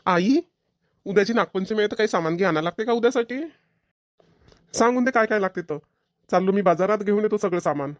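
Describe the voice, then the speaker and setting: A man's voice: high-pitched, fast talker, long pauses, dry recording, clean studio sound, close to an everyday voice. A man voicing village sons and husbands in a cartoon comedy, with a rural Vidarbha Marathi accent. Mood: dutiful. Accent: Maharashtrian, rural Vidarbha Marathi. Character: village men, dutiful sons and put-upon husbands.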